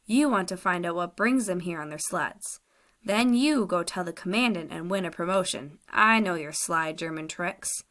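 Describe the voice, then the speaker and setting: A woman's voice: fairly low pitch, moderate pace, a bit bright, close to an everyday voice. A woman voicing a stern, commanding uncle who questions a prisoner severely. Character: stern commanding older uncle, boat captain.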